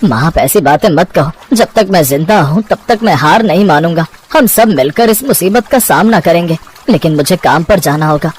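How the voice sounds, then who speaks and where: A man's voice: fairly high pitch, expressive, normal speed, few pauses, slightly rough. An actor voicing an earnest, worried poor labourer father who pleads and reassures in a cartoon story. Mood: determined, reassuring.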